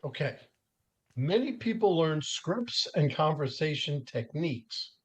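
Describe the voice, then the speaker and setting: A man's voice: fairly high pitch, sing-song, unhurried, breathy edge. A man hosting an interview podcast, asking open questions and affirming his guest's points. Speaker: host, professional speaker. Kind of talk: conversational interview.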